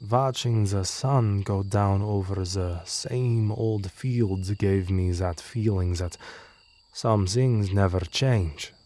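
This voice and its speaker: A man's voice: lowish voice, mellow. A man reading a scripted first-person horror story as narrator.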